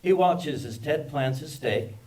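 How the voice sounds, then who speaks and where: A man's voice: a little high, expressive, measured pace, a little mellow. A man reading scripted stage directions as narrator of a screenplay table read, recorded from a distance. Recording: distant microphone in live reading.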